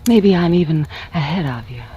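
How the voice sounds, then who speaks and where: Muffled old-radio femme fatale. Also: female voice, sits low, lively intonation, moderate pace, over loud music. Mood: scheming, seductive. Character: frightened, scheming femme fatale, a gangster's lover. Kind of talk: 1940s-50s radio noir acting. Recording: muffled vintage radio recording.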